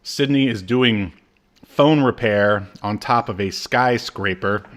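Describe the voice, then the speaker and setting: Rambling podcast co-host, many ums. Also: male voice, lowish voice, takes it slowly, fairly bright tone. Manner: rambling, many ums and uhs, acts out characters in quotes. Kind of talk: casual chat recapping a TV episode.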